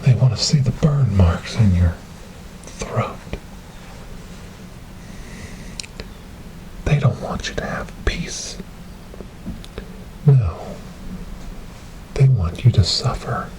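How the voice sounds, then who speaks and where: A man playing a calm, hypnotic government paranormal investigator in a whispered ASMR horror role-play, speaking softly, breathily and deliberately. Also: on the low side. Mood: grave, hushed. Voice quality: soft, breathy, often dropping into whisper. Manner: hushed, deliberate, repetitive, hypnotic. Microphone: close binaural microphone. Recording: noisy close binaural recording.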